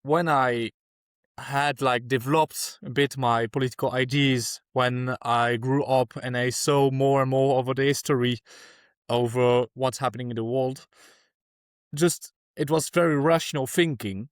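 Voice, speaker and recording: Man, from France, earnest, restarts sentences, mid pitch, dry close mic. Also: fairly even intonation, unhurried, no echo. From France (Alsace). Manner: earnest, searching for words, frequent restarts. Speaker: guest activist. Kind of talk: podcast interview answers.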